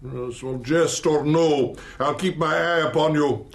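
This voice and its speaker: Stern doctor-magistrate: high-pitched, commanding, clipped and admonishing, unafraid, period radio drama. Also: male voice, unhurried, vocal fry. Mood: stern warning.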